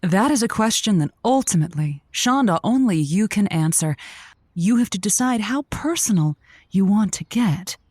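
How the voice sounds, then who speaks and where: A woman's voice: mid-range voice, expressive, moderate pace, a little mellow, breathy edge, no room sound, studio quality. A woman playing a breezy, supportive radio advice host in an audio drama, with a playful, emphatic delivery. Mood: breezy, encouraging.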